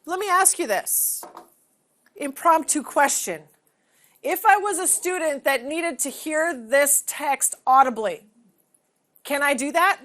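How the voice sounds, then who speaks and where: A woman's voice: sits high, animated, slow pace, long pauses, fairly bright tone. A woman in her forties leading a hands-on classroom demonstration, asking the room questions and checking in with "okay?". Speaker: teacher trainer. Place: large training room.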